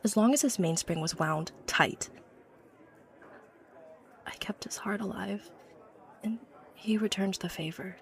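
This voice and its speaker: A woman's voice: mid-range voice, a little slow, creaky, pauses often, crowd noise. A woman playing an obsessive, haunted young inventor from a wealthy family in a horror audio drama, confiding hesitantly with phrases that drop to a whisper. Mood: wistful, fond. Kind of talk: horror audio drama acting, confessional monologue. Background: background music.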